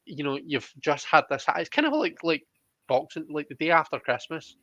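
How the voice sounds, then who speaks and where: A man's voice: on the high side, sing-song, normal speed, a bit bright, dry recording. A man chatting casually as the host of a live tarot reading, over a slightly muffled online call. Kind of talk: casual chat, reading viewer comments aloud.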